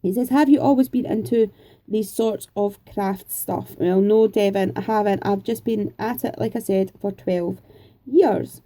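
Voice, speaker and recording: A woman's voice: mid-range voice, measured pace, mellow. A Scottish woman in her forties chatting casually and rambling a little as she answers viewer questions. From Scotland. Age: 40s. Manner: chatty, rambling, homely, with frequent fillers. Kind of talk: casual chat.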